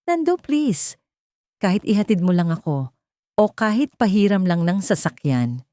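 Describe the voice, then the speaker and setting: A woman's voice: mid-range voice, big pitch swings, normal speed, dark tone, dry recording, lightly acted. Casting: female narrator as downtrodden young woman in a romance audio drama, deferential, begging, dry close sound. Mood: pleading. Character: pleading, long-suffering young woman, humble servant-like fiancée.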